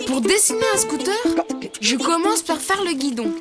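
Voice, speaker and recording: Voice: extremely high pitch, sing-song, moderate pace. An actor voicing a helpful young cartoon animal who cheerfully and reassuringly explains drawing step by step for children. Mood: upbeat, instructive. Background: music.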